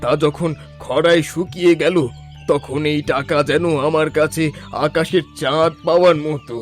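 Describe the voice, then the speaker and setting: A man's voice: on the high side, steady tone, moderate pace, faint music behind, close to an everyday voice. A man voicing a grateful, emotional old father-in-law in a cartoon family drama. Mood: moved. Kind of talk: cartoon voice acting.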